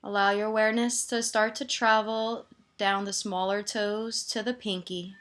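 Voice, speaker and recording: A woman meditation teacher gently guiding a body scan, soothing and leaving pauses between her instructions. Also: mid-range voice, little pitch movement.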